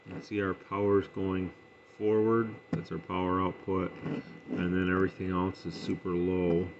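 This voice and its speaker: Low-pitched, monotone man with gravelly fry. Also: moderate pace. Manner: matter-of-fact, thinking aloud. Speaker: radio hobbyist demonstrating and testing equipment. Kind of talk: casual off-the-cuff product demonstration. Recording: dull lo-fi sound with faint noise.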